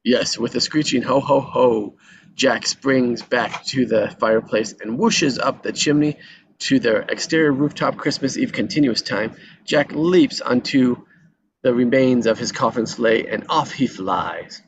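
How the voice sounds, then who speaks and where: A man's voice: medium pitch, unhurried. A man narrating a screenplay's action lines at a table read, sometimes tripping over and repeating words. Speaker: reader of stage directions. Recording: dull lo-fi sound with background noise.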